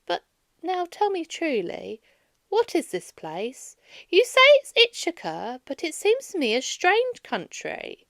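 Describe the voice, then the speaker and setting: A woman's voice: extremely high pitch, wildly swooping pitch, slow, a bit bright, no room sound, clean studio sound, pitched above the performer's own voice, exaggerated voice. A woman voicing a wary, weary wandering Greek hero from a children's storybook, emphatic and pleading. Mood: respectful, doubtful.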